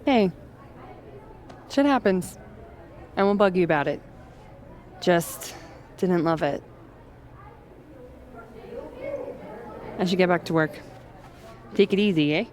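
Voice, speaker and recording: Woman as a slow-talking, wry young woman. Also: on the low side, darkish tone, a faint crowd, close to an everyday voice. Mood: forgiving. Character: young woman bartender, blunt, wry, easygoing, mildly hurt. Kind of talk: naturalistic video game acting.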